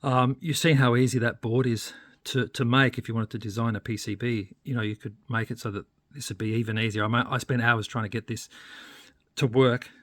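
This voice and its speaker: A man with a mid-range voice talks through a hands-on demonstration as an electronics hobbyist. His delivery is informal and explanatory, often halting and pausing as he goes. The sound is dry, with very little room to it. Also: medium pitch, steady tone, dry recording.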